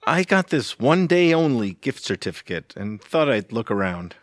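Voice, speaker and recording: An actor, a man, plays a self-important ladies' man with a lively, swooping delivery in a dry recording. He is smarmy and cocky, a comic audio-theatre sleaze who thinks he is irresistible. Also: medium pitch, normal speed, no echo, natural voice. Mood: flirtatious. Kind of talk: comedic audio theatre acting.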